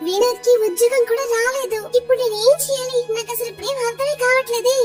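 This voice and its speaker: A woman's voice: very high voice, steady tone, fast talker. A woman playing an anxious, pleading young woman in a cartoon story. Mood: panicked. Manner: fretful, pleading. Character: anxious, pleading young woman in love, facing arranged marriage. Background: music.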